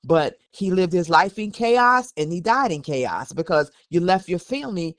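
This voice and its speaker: A podcast host speaks at a medium pitch into a close mic with very little room sound. The delivery moves between reading an article and conversational commentary. Opinions come across candid and emphatic. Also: animated, moderate pace, dry recording.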